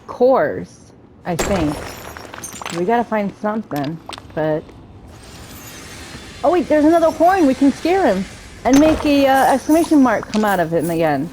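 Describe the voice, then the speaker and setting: A woman's voice: fairly high pitch, warm tone, a little hiss or hum, poor audio. A woman giving casual let's-play commentary, thinking aloud as she plays and talking to the game's characters. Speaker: gaming streamer narrating her play.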